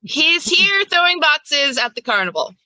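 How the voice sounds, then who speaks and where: Very bright-voiced woman game master. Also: high-pitched, sing-song, measured pace, low-quality recording. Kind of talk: casual tabletop game banter.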